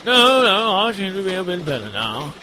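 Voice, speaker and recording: A man's voice: high voice, sing-song, normal speed, background noise. A man voicing a bumbling, vain doctor sidekick in a comedic audio drama, flustered and pompous.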